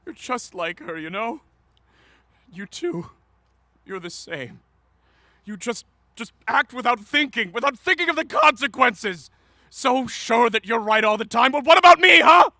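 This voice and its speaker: A man's voice: extremely high pitch, expressive, a little slow, pushed and bright, dry recording, heavily acted. A man acting in a radio drama as an anguished, guilt-ridden middle-aged father who is defensive and stammers with emotion. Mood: anguished anger.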